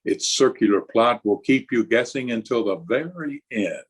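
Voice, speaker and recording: A man's voice: mid-range voice, normal speed, breathy edge. A man delivering a scripted introduction as the announcer of a radio drama.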